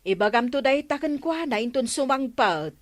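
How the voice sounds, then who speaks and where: Patient hall lady, lively pitch. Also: normal speed. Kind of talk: radio comedy drama acting.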